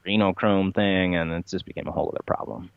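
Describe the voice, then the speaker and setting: A man's voice: deep voice, steady tone, quick pace, vocal fry, no room sound, narrow, tinny audio. A man being interviewed in a casual conversation, telling things in a rambling, matter-of-fact way. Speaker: podcast guest, interviewee.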